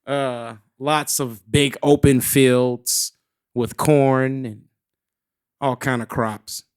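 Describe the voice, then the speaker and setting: A young man talks easily about where he grew up. His voice is smooth and resonant at a middle pitch. He tells his story in a loose, rambling way. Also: fairly even intonation, drawn-out words. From South Carolina, USA. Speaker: guest musician. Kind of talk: casual interview chat.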